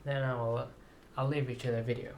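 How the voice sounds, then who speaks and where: A man with a sore throat talking in a rambling, halting monologue to his viewers, often repeating words as he introduces his own writing. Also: medium pitch, level tone, a little mellow, a little hiss or hum. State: sore throat, slight fever. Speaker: writer introducing and reading own story. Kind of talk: slow rambling video monologue.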